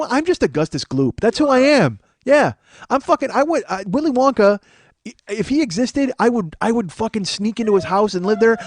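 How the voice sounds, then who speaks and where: A man hosting a podcast, riffing in a profane, excitable comedic monologue into a studio microphone. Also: high-pitched, no echo. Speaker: podcast host.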